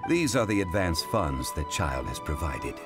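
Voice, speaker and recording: A dignified, learned gentleman from a fantasy game. His low voice is formal and solemn, with measured phrasing and plenty of melodic movement. Music plays clearly behind him. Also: big pitch swings. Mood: calm, dignified. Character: dignified, learned young-looking male funeral parlor consultant. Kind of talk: video game character dialogue.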